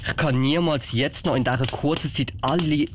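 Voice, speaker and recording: A man's voice: on the high side, moderate pace, background noise, lightly acted. A man with a Swiss German accent playing a kindly, flustered old Santa Claus in an amateur radio play. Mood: doubtful, touched.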